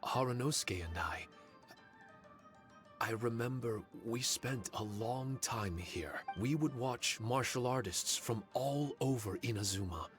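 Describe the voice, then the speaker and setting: An actor playing a calm, polite spirit overseer in a video game, speaking in a formal, measured, reassuring manner. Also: male voice, mid-range voice, soft background music, lightly acted. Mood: reminiscing.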